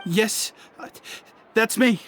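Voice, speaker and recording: An actor playing a nervous, submissive underling in a video game, hesitant and stammering. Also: male voice, high-pitched, takes it slowly, background noise. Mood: nervous, guilty.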